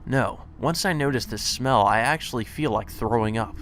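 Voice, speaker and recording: A man's voice: medium pitch, normal speed, fairly bright tone, slight background noise. A man reading a visual novel aloud as a let's player, mixing the game's text with casual asides.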